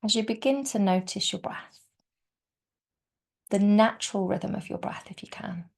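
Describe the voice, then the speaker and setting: Gently creaky woman guiding meditation. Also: mid-range voice, in a whisper. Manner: soft, soothing, unhurried delivery with gentle pauses. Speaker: yoga nidra teacher. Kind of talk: guided meditation.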